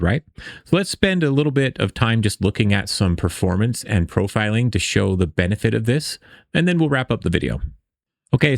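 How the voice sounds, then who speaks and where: A man's voice: fairly low pitch, no room sound, clean studio sound. A man hosting a casual screen-share coding tutorial, explaining step by step in an informal, conversational way. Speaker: tutorial host.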